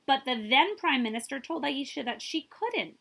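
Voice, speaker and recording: Expressive woman reading aloud, lively swooping pitch. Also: normal speed, gravelly. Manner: emphatic, drawing out key words for young listeners. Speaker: librarian reading aloud to children. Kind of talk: expressive picture-book read-aloud with brief asides.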